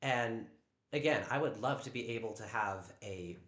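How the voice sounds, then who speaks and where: A man with a naturally loud voice talking on a live stream at home, defensive and stammering over repeated words. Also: medium pitch, normal speed. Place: at home in a downstairs room. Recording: echoey room.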